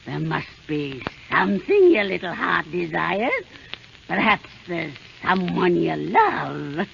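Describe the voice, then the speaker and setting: A woman's voice: on the low side, very animated, takes it slowly, gravelly, fairly bright tone, exaggerated voice. An actress playing a wicked old witch queen disguised as a crone, with a crackly, cackling voice, coaxing and then gloating, in a 1930s radio drama. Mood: wheedling. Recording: scratchy lo-fi 1930s radio broadcast.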